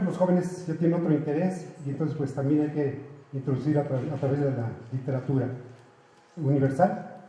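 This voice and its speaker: A man's voice: on the high side, dark tone, heavy reverb, low-quality recording. A Mexican man, a native Otomi speaker who teaches at a university, reading aloud and then explaining earnestly, often correcting himself. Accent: Mexican Spanish. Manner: earnest, with frequent restarts and self-corrections.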